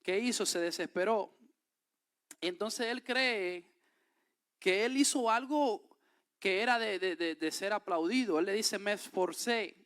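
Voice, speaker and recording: A man's voice: high-pitched, a little slow, a bit bright, clear, resonant tone. A man with a Dominican accent preaching a sermon, reading scripture aloud and then explaining it with emphatic rises. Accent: Dominican Spanish. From Dominican Republic.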